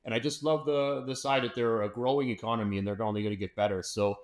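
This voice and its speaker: A man's voice: mid-range voice, steady tone, fast. A man hosting an interview podcast, upbeat and enthusiastic, with frequent fillers and restarts. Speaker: podcast host. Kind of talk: casual interview chat.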